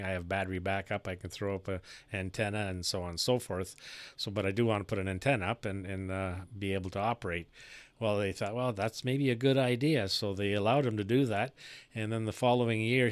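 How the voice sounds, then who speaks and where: A man with a fairly low voice talks shop as a ham radio operator. His delivery is halting and rambling, with pauses as he goes. The sound is close and dry, from a studio broadcast microphone. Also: clear, resonant tone, dry recording. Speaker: amateur radio operator and live-stream host. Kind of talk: casual ham radio chat, technical shop talk.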